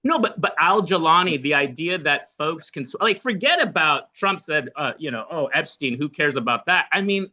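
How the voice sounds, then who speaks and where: Male host, higher pitch, bright forceful tone, argumentative. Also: lively intonation, normal speed, few pauses, muffled recording. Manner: pushes back, argumentative. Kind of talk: political discussion.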